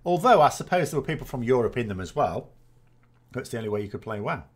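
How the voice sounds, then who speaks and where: A man's voice: mid-range voice, animated, fast talker. A man, chatting casually and rambling as a gaming host answering viewer questions, often correcting himself with dry self-mockery. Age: 40s.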